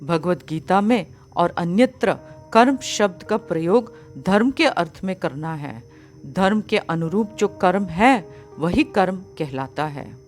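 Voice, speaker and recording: A woman narrates a spiritual text at a very unhurried pace. Her voice sits slightly low, and her delivery is measured, formal and instructive. Soft music plays faintly behind her reading. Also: animated. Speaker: audiobook reader of a spiritual book. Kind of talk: scripted narration of a religious discourse.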